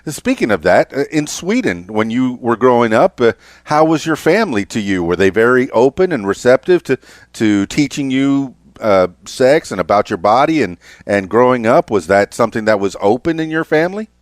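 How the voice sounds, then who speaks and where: A man in his fifties hosting a casual interview, speaking haltingly and often repeating his words. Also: medium pitch, very animated, no echo, studio quality. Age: 50s. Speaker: podcast host interviewer.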